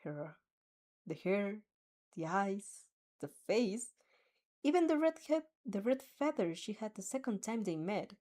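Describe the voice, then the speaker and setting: A woman's voice: medium pitch, very animated, unhurried, warm tone. A young woman reading a fanfiction aloud and chatting casually with viewers in a giggly, rambling, easily flustered way. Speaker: fanfic reader and streamer. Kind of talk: reaction reading and casual chat.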